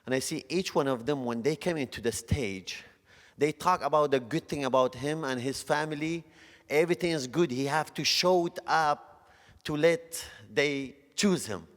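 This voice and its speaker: A man's voice: on the high side, fairly bright tone. Kurdish-accented man giving testimony in hall. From Northern Iraq. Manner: broken English, earnest, halting restarts. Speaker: guest speaker. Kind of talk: church testimony. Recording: long church hall reverb.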